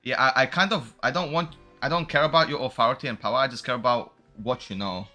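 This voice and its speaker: A man's voice: mid-range voice, fast talker, pushed and bright. A man doing casual, slangy game-streamer commentary, blunt and given to swearing as he reacts to story scenes. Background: game music.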